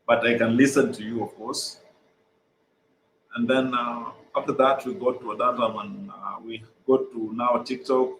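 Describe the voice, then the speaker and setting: A young Kenyan man whose first language is Swahili, earnestly explaining his organization's programs over a video call, with frequent "uh" pauses. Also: mid-range voice, reverberant, slight background noise, poor audio. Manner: earnest, many "uh" pauses, repeats phrases. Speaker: guest, mental health organization co-founder.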